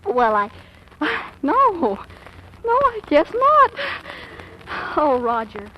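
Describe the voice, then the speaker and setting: A woman's voice: high voice, wildly swooping pitch, very slow, full character voice. A woman playing a gushing, melodramatic fifteen-year-old girl in love, in 1940s radio acting style. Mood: flustered, smitten. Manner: gushing, breathy hesitations and exclamations. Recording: muffled, noisy vintage radio recording.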